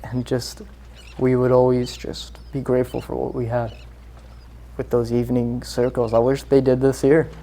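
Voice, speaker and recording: A man who grew up in Singapore answers interview questions in an earnest, meandering way. His pitch sits in a mid range, and his sentences trail off and restart, padded with small fillers. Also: flat delivery, normal speed, warm tone. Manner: earnest, rambling, with many fillers. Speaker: guest. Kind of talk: casual interview chat.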